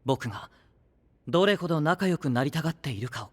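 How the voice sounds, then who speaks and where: An actor playing a gentle, idealistic young knight who leads an alliance, speaking softly and earnestly in anime audio drama style. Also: male voice, on the high side, lively intonation. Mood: gently persuasive. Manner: soft-spoken, earnest, measured.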